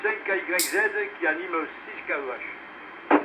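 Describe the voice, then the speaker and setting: A man with a slightly high-pitched voice hosts an amateur radio net in a casual, hesitant way. His halting speech comes through a thin, narrowband two-way radio signal with clear noise over it. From France. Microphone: two-way radio microphone. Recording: noisy narrowband amateur radio relay transmission.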